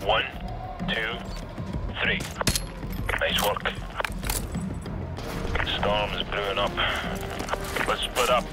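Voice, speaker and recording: Commando captain, lowish voice, cool, terse, seasoned, war game orders, loud music. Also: male voice, steady tone, very slow, pauses often. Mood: calm, focused. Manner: controlled, clipped, terse. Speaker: special forces captain. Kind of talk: scripted video game dialogue, tactical orders.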